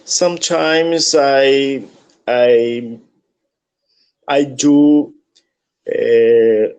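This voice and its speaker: Slow, halting man with a Brazilian accent. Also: mid-range voice, fairly even intonation, a little mellow, smooth. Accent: Brazilian Portuguese. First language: Portuguese. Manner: halting, searching for words. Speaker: English student. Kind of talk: online English lesson conversation.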